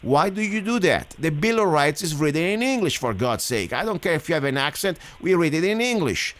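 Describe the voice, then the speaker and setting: A man's voice: a little high, very animated, a little fast, a bit bright. Man, radio host, rambling, indignant. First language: Italian. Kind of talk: casual radio talk.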